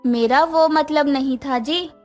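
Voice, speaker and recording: Woman playing a self-sacrificing middle-aged mother in a family story, high-pitched and meek, music behind. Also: unhurried, darkish tone. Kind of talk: acted dialogue for a narrated moral story.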